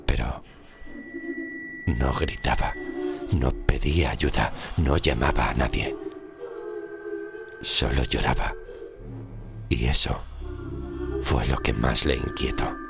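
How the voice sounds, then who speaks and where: A man from narrates a scripted horror story with suspense, in short weighted phrases that often sink into a breathy hush. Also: a bass voice, slow pace, long pauses. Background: music.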